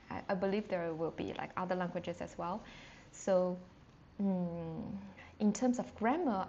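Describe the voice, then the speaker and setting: Native Chinese woman, chatty live-stream teacher, filler-heavy, mid pitch, slightly muffled take. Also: a little mellow. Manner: chatty, many fillers and restarts. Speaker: language teacher. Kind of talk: live-streamed casual lesson with chat questions. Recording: slightly dull sound with faint noise.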